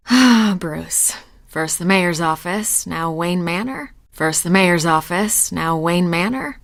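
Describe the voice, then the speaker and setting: Female reporter role for a game: slightly low voice, self-assured, probing, wry, natural delivery. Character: confident, probing, ambitious female newspaper reporter. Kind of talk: naturalistic video game voice acting.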